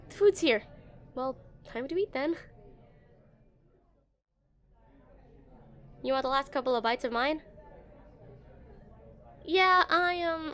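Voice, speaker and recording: Woman acting a high-voiced, awkward, smitten young game developer. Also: a bit bright. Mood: awkward, playful. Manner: nervous, stammering, hesitant. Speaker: audio roleplay performer. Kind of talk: scripted romantic roleplay, spoken to the listener.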